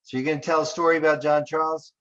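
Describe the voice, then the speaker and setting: A man's voice: fairly high pitch, level tone, fast talker, a bit bright, clear, resonant tone, lo-fi sound. A man hosting an online business webinar, talking casually with many fillers and loose asides. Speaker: webinar host.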